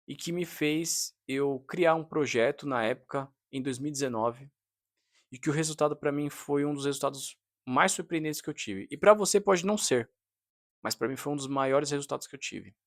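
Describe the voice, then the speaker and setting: Earnest man in his thirties. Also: a little high. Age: 30s. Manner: earnest, confiding, frequent "né?" tags. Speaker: vlogger, fitness trainer. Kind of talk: casual monologue to camera.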